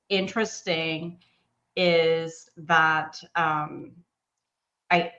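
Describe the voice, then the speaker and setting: A woman's voice: on the low side, fairly bright tone, lo-fi sound. A woman hosting a casual interview as a company art director, chatty and rambling, laughing easily.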